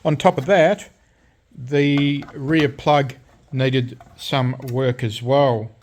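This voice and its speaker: A man's voice: mid-range voice, fairly even intonation, drawn-out words, a little mellow, slight background noise. A man at his workbench, casually talking through a radio repair, thinking aloud with fillers and restarts. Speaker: radio restorer. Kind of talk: casual repair vlog narration. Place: home workshop at a workbench. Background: rain storm outside.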